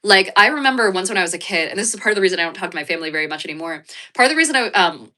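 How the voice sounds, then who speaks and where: An American woman with a stuffed-up, sniffly voice rambles to her viewers in a casual livestream chat. She sits on the lower side of the female range, and her tone is bright and forceful. She swears freely and fills her talk with restarts and ums. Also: expressive, racing. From United States. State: congested, sniffly.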